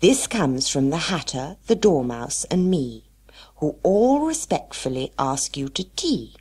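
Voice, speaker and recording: A woman voicing a busy, excitable, touchy male hare in a storybook, speaking eagerly and emphatically. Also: sits low, unhurried, husky, heavily acted. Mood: proud.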